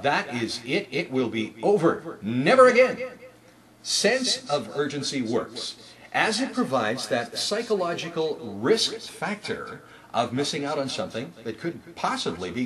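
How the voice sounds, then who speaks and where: A man presents like a trainer, with emphatic salesman delivery. His pitch swings widely in a very animated way, and there is clear crowd noise behind him. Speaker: store announcement trainer. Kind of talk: instructional talk with demonstrated announcement scripts.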